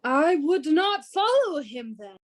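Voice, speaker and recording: A woman's voice: high voice, very animated, slow pace, exaggerated voice. A woman playing a wealthy, aggrieved male suitor in a Shakespeare radio play, theatrical and earnest. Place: recorded at home over a video call.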